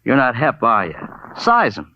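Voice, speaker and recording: Glib, flippant lab assistant. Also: male voice, medium pitch, wildly swooping pitch, unhurried, bright, forceful tone, exaggerated voice. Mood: flippant, teasing. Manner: arch, glib. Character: flippant, hip male laboratory assistant. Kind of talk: 1940s-50s radio drama acting. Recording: dull, faintly noisy old radio recording.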